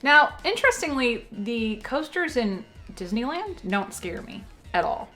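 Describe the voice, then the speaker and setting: A young woman chatting casually on a stream, reading out viewer messages and telling animated stories with fillers and giggles. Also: on the high side, measured pace. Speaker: stream co-host. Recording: faint music behind.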